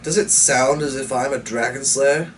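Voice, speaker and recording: A man voicing a huge, reluctant lord of the manor in a storybook reading, gruff and dismissive. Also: medium pitch, normal speed, a bit bright, natural voice. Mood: stubborn refusal. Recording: distant mic with faint noise.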